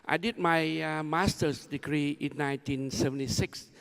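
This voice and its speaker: Male, 70, Vietnamese accent. Halting informal speaker, creaky voice, reverberant conference hall. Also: fairly high pitch, steady tone, a bit bright. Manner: halting, repetitive phrasing. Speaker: conference speaker, new board member. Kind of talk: informal speech with personal anecdotes. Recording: echoey large hall sound.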